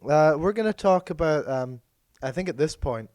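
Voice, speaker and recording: A man co-hosting a casual chat podcast, hoarse and losing his voice from being sick, talking in a meandering, hesitant way that often corrects itself. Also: on the high side, animated, normal speed, darkish tone, no room sound, studio quality. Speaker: podcast co-host.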